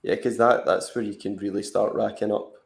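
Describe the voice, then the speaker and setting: A man's voice: fairly low pitch, fairly even intonation, brisk, gravelly, echoey room. A man co-hosting a fantasy football live stream, chatting casually and rambling with lots of fillers and restarts. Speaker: fantasy football podcast co-host.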